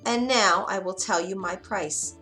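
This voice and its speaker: Female narrator as bargaining little imp man, sly, taunting, emphatic, sharp bright tone, storybook style. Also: mid-range voice, a little slow, faint music behind, natural voice, rough recording. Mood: smug, sly.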